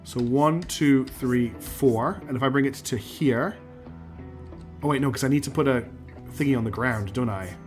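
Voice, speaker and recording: A man streaming a factory-building game, losing his voice and clearing his throat, talking himself through each step and answering chat. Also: medium pitch, normal speed, faint music behind. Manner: talks himself through building steps, counts out loud, asks himself questions; answers chat messages as he plays. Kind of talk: live gameplay commentary, thinking aloud.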